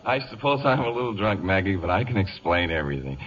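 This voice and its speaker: An actor playing an effusive, slightly slurred, drunken young playwright in a 1940s radio comedy. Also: male voice, low voice, big pitch swings, normal speed, a bit bright. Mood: tipsy elation. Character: tipsy, giddy young male playwright. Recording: dull vintage radio recording.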